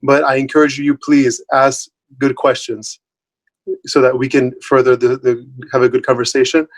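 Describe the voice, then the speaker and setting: A man's voice: mid-range voice, level tone, normal speed, poor audio. Friendly man from Puerto Rico. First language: Spanish. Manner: friendly, loose, many fillers and restarts. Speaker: guest speaker. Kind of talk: informal talk. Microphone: online video call.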